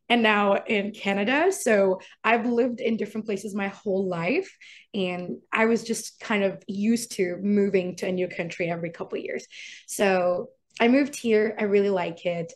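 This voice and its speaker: A young woman from Iraq, a guest on a podcast, chatting casually with frequent laughs and fillers. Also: mid-range voice. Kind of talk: casual interview chat.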